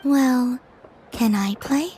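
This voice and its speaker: Casting: a serene, curious young goddess, high voice, slow polite delivery, understated game acting. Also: female voice, mellow. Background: music.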